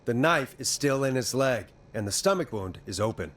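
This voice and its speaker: An actor playing a steady, reassuring but urgent radio host in a horror game drama. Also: male voice, mid-range voice, moderate pace. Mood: urgent, earnest. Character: calm, earnest male 1980s radio DJ in crisis.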